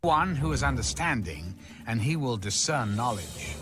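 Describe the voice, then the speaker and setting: A man reading scripture aloud from a script in a measured, expressive, declamatory manner. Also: medium pitch, over music. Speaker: audio Bible reader.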